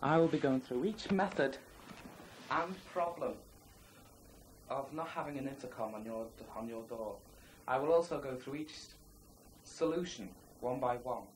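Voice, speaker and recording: A man giving a rambling, half-scripted amateur presentation, halting and matter-of-fact with blunt asides. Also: mid-range voice. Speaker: presenter of a homemade design project video. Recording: distant mic, faint background noise, amateur home video.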